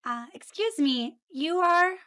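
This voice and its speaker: Woman acting a friendly female colleague, high bright voice, scripted learner conversation. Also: lively intonation, slow pace, full character voice. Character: friendly female office colleague welcoming a newcomer. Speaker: voice actor in English lesson dialogues.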